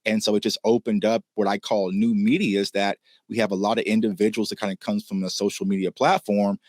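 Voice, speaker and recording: A man from Oklahoma and Texas speaks as a football reporter in a casual interview. He talks quickly in a lowish voice with a very dry, close sound, spinning anecdotes conversationally. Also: flat delivery, a little mellow, no echo. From Oklahoma and Texas, United States. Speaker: football reporter and YouTube host, as guest.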